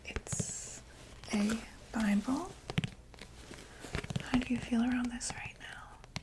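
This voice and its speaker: A woman whispering softly and breathily in a gentle, reassuring ASMR medical roleplay, speaking close to the microphone. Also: fairly high pitch, slow pace, low-quality recording. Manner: gentle, reassuring, hesitant check-up patter. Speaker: ASMR roleplayer playing a doctor for monsters.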